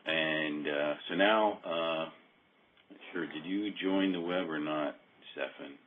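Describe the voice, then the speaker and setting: A man presenting an informal technical walkthrough on a conference call, explaining in a hesitant, halting way and correcting himself as he goes. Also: a bass voice, a little hiss or hum. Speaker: software demo presenter. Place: remote web conference call. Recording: muffled phone-like conference line.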